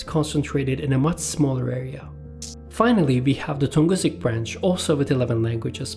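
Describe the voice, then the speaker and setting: A man from Turkey, a native Turkish speaker, giving a scripted educational narration in a measured, list-reading way. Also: medium pitch, warm tone. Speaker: language video host.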